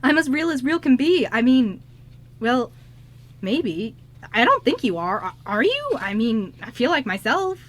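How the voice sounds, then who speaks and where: A woman's voice: high voice, very animated, exaggerated voice. A woman playing an anxious, confused young man in an amateur radio drama, stammering and pleading. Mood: confused, relieved. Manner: stammering, halting.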